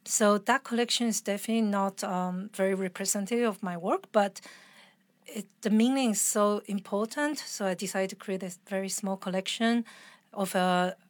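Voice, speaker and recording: A woman's voice: mid-range voice, no echo. A woman with a mixed international accent speaks as a guest in a conversational interview. She is earnest and reflective, explaining her thoughts expressively. The recording is very dry, with little room sound.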